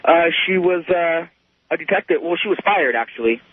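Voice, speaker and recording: A man in his thirties calling a radio show, telling a personal story in a halting, repetitive way full of "you know". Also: fairly high pitch, animated, dry recording. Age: 30s. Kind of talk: unscripted personal account on a call-in show. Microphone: telephone. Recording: muffled lo-fi phone call to radio.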